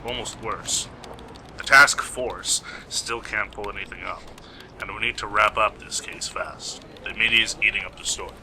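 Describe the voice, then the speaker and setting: A man playing a gruff, put-upon police investigator in an amateur radio play, with a terse, grumbling delivery. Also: lowish voice, moderate pace, pushed and bright, heavily acted. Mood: irritated. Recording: noisy amateur home recording.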